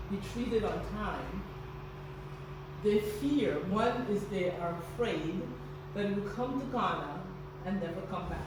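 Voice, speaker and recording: A woman from Guyana giving a staff training talk with faith themes, earnest and encouraging, often restarting her sentences. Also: medium pitch. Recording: very echoey, distant, noisy room recording.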